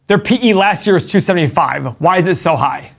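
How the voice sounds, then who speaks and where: A man's voice: a little high, brisk, vocal fry, crowd noise. A middle-aged man co-hosting a casual finance chat, explaining numbers step by step and asking rhetorical questions. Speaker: co-host and stock analyst.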